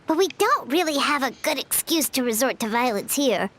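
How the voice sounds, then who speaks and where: A woman voicing a small, chirpy, talkative fairy girl companion in a video game, squeaky and expressive. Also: moderate pace. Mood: cheerful. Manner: squeaky, expressive, refers to self in third person.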